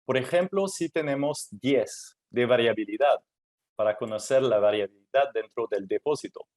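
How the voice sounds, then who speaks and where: A man presenting at a technical workshop in Spanish, which is his third language, speaking haltingly with many fillers and restarts. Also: mid-range voice, darkish tone. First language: not Spanish; Spanish is his third language.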